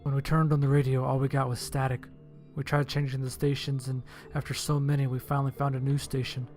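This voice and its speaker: A man's voice: mid-range voice, level tone, brisk, a little mellow. A man reading a first-person horror story as a dramatic scripted narration. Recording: music under the voice. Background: music.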